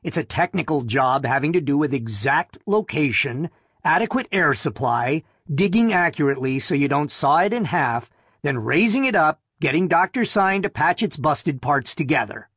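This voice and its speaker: A man voicing a gruff, cautious male technician with a blunt, sardonic manner in an audiobook story. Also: on the high side, animated, moderate pace, fairly bright tone, dry recording, clean studio sound, heavily acted. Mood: dismissive.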